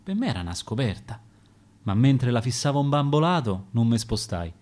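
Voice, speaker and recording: A man with a Roman accent playing a rough, sincere young farmhand telling his story in verse. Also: mid-range voice, big pitch swings, moderate pace, darkish tone, lightly acted. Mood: proud, wistful. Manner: plain-hearted storytelling in verse.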